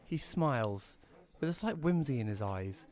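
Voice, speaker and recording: A man's voice: medium pitch, lively intonation, normal speed, darkish tone, natural voice. A British man playing a wry, grumbling advice columnist who narrates an audio drama in a conversational first-person style. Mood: wry narration. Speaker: narrator and lead character.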